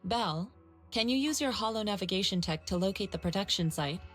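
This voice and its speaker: Woman as composed master, medium-pitched voice. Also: lively intonation, brisk, soft background music, lightly acted. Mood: inquiring. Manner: formal, assured. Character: composed, authoritative female martial arts master.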